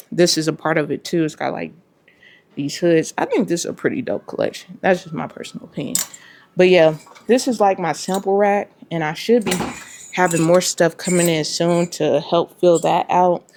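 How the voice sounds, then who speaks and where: A young woman in her twenties, getting over a cold, giving a casual vlog tour of her storage unit in a relaxed way, with many "like"s. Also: lowish voice, moderate pace. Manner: relaxed, filler-heavy, with many "like"s. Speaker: clothing brand owner. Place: small metal storage unit, indoors.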